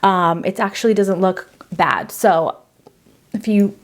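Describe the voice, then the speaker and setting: A woman in her thirties talks through her makeup in an easygoing, conversational way. Her voice sits at an ordinary middle pitch, and her delivery is loose and breezy, full of fillers and little asides. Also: takes it slowly. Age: 30s. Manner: chatty, filler-heavy, breezy. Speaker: beauty vlogger. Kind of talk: casual product review.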